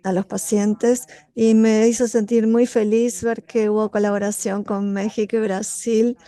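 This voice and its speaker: A woman interpreting a colleague's words aloud in steady simultaneous interpretation on a video call. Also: mid-range voice, measured pace, clean tone.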